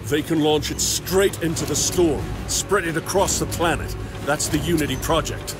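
An actor playing a cynical, terse space marine mercenary in a video game. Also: male voice, on the high side, moderate pace, lightly acted. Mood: urgent. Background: game music.

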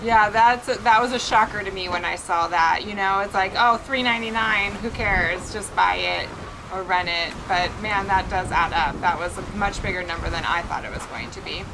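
A woman's voice: mid-range voice, moderate pace, background noise. Enthusiastic woman chiming in on a boat. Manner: upbeat, chiming in with agreement and enthusiasm. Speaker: sailing vlog co-host. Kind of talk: casual chat. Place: aboard a sailboat.

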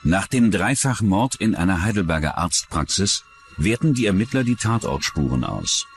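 A man with a deep voice narrates a true-crime documentary. His scripted delivery is dramatic and melodically varied, with music underneath. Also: big pitch swings, normal speed.

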